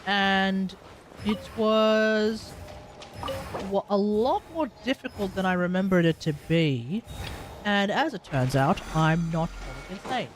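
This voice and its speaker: A man's voice: sits high, takes it slowly, slight background noise. An Australian man chatting casually as he hosts a live game stream. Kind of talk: casual livestream chat.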